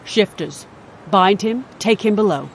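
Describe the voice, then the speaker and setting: A man's voice: high-pitched, unhurried, vocal fry, a little hiss or hum. A man playing a haughty, officious goblin queen in an audio drama, with a commanding, formal manner. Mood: imperious. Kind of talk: scripted audio drama acting.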